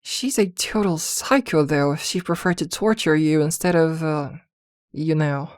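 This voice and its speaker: A woman playing a possessive, protective witch girlfriend in an intimate ASMR roleplay, doting yet jealous, with hesitant pauses. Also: low voice, dry recording, clean studio sound. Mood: dismayed, wry.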